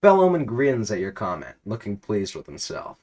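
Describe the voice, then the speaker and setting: Low-voiced man narrating a comic story. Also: huge pitch swings, moderate pace, darkish tone. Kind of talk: dramatic comedic narration in second person.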